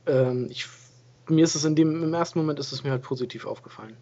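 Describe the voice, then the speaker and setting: A man's voice: on the high side, fast. A man co-hosting a gaming podcast, chatting in an easygoing way and often correcting himself. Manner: flat, easygoing, self-correcting. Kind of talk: casual game review chat. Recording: dull lo-fi home recording.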